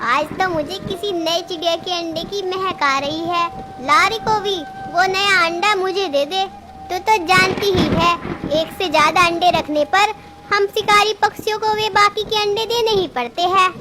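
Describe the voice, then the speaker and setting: A woman's voice: very high-pitched, fairly even intonation, a little slow. A woman voicing a greedy, menacing male hunting bird in a cartoon story. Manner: bullying, menacing.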